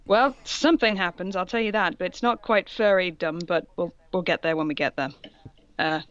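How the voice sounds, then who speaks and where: A woman's voice: on the low side, moderate pace, smooth, dry recording, highs cut off. A woman co-hosting a comedy show, casually reading fan fiction aloud and commenting on it. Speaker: co-host reading the female parts.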